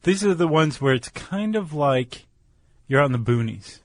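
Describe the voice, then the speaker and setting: A man co-hosting a podcast, explaining things in an informal, conversational way with loose analogies and a creaky, gravelly voice. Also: fairly high pitch, animated, moderate pace, no room sound, clean studio sound. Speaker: podcast co-host. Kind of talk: casual explanatory chat.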